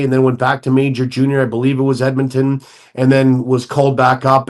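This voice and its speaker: Man breaking down hockey at length. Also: mid-range voice. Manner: expansive, thinks aloud through scenarios. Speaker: hockey analyst guest. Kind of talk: radio sports talk analysis.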